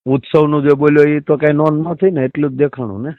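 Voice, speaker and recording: A man's voice: a little high, little pitch movement, quick pace. A man with a Saurashtra Gujarati accent in a casual phone conversation, mostly giving brief acknowledgements. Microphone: telephone. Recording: dull phone call recording.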